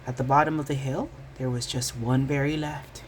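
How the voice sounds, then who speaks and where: A woman reading a children's bedtime story aloud in an expressive storytelling style. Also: a bass voice, moderate pace, a little hiss or hum.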